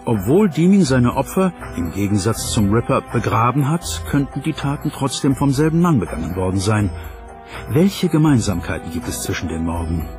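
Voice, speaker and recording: A man's voice: mid-range voice, sing-song, normal speed, music in the background, close to an everyday voice. A man speaking scripted documentary voice-over as the translated voice of an investigator.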